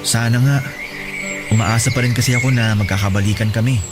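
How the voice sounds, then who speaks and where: A man's voice: mid-range voice, fairly even intonation, fairly quick, natural voice. Guy voicing a heartbroken young guy, pleading n lost, medium voice, music underneath. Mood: despairing. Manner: pleading, distraught. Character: heartbroken, confused young man. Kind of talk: radio drama acting.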